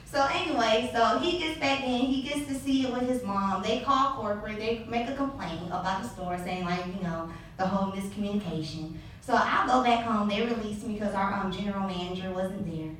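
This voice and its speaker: A woman's voice: mid-range voice, fairly quick, a little hiss or hum. Young woman telling a sassy story in an echoey hall. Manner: animated, sassy, laughing asides. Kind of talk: live personal storytelling. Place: live event venue. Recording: echoey hall, distant mic.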